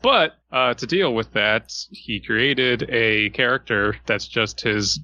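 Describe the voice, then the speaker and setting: A man's voice: medium pitch, takes it slowly, sharp tone, highs cut off. A man co-hosting a podcast in casual comedic chat, wry, rambling and given to swearing. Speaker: podcast co-host.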